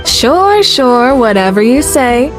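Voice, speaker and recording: A woman voicing a confident leader in a video game who speaks with warm assurance. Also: on the high side, very animated, takes it slowly. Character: confident adult woman leader who cares for her people. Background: game music.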